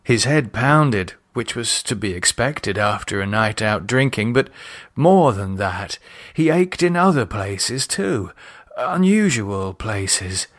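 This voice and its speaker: A man reading a horror novel as a dramatic audiobook, measured and expressive in his delivery. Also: fairly low pitch. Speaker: author and narrator reading own novel.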